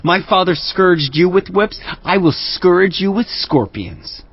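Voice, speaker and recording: A man's voice: high voice, lively intonation, takes it slowly, slightly rough, dry recording, full character voice. A man playing a brash, arrogant young royal adviser in a dramatized scripture reading, taunting and emphatic. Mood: scornful, boastful. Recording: dry, dull lo-fi.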